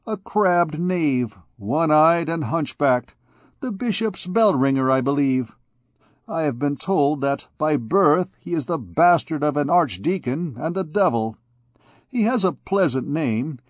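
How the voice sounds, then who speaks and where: A man's voice: on the high side, lively intonation, unhurried, no room sound, voice pushed up. A man voicing a swaggering young army captain who talks in a boastful, blustering way.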